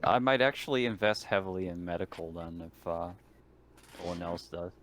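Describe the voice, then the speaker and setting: A man's voice: low-pitched, moderate pace, a bit bright. A man taking part in a tabletop game, chatting casually as a player.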